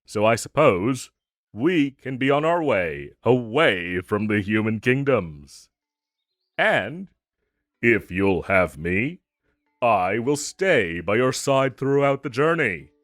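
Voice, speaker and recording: A man's voice: on the low side, a bit bright, no room sound, clean studio sound. A man playing a gallant, theatrical knight with a playful streak and formal, archaic phrasing in a fantasy audio roleplay.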